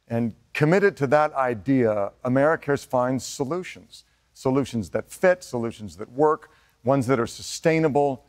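Middle aged guy hosting a benefit from a warehouse, emphatic, mid-pitched. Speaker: benefit co-host. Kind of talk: scripted presenting to camera. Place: indoor warehouse.

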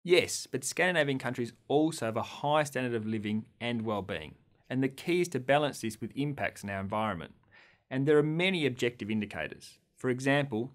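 Upbeat man from Australia lecturing. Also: medium pitch. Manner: clear, upbeat presenting with open gestures. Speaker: course lecturer. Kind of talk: scripted lecture to camera. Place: studio against a green screen.

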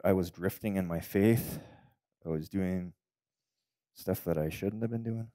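Pastor in his forties, low voice, close dry headset mic, sermon that swells to loud emphatic peaks. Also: no echo. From Canada. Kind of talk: sermon with personal testimony. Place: church stage, indoors.

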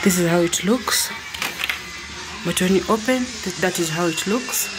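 A woman from Kenya with a Kenyan accent narrates a casual house tour in an unhurried, repetitive way, as if pointing things out. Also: fairly low pitch, loud music behind the voice, poor audio. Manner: unhurried, repeats phrases while pointing things out. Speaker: vlogger. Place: indoors, living room of a family home. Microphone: handheld phone or camera held by the speaker.

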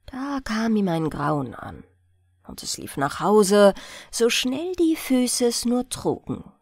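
A woman reading a fairy tale as a bedtime audiobook, in an expressive storytelling manner. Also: mid-range voice, slow pace, gravelly, no room sound, clean studio sound. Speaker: audiobook reader.

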